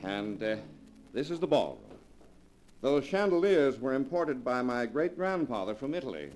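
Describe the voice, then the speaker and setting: A suave, scheming nephew, voiced by a man, talks in a mocking, sardonic way, and his pitch rises and falls widely. It is classic 1940s radio melodrama acting. The old broadcast recording gives it a dated sound. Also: very animated, moderate pace, darkish tone, lightly acted, low-quality recording.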